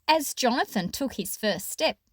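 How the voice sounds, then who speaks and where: A woman's voice: on the high side, expressive, moderate pace, slight background noise. A woman reading a children's picture book aloud expressively, with explanatory asides to young listeners.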